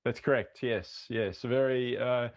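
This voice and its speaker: An Australian man talks about himself as a podcast guest, earnest and a little halting, with frequent fillers. His voice sits in an ordinary middle register. The call audio is muffled and dry. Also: medium pitch, fairly even intonation, dry recording. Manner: earnest, many fillers and restarts. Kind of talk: casual interview talk.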